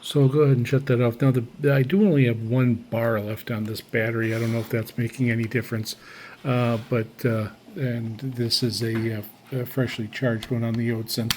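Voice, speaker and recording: Halting man with a flat, even delivery. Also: medium pitch, a little hiss or hum. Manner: halting, deliberate. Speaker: shortwave radio hobbyist demonstrating receivers. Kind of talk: casual unscripted product demonstration.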